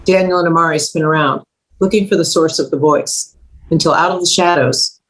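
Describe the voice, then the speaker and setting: A woman's voice: low-pitched, brisk, low-quality recording. Woman narrating a screenplay. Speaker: stage-direction narrator. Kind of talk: scripted narration of screenplay directions.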